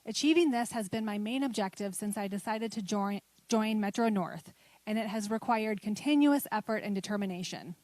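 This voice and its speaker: A woman teacher reading a student's essay aloud at a ceremony in a steady, measured way. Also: medium pitch, no room sound.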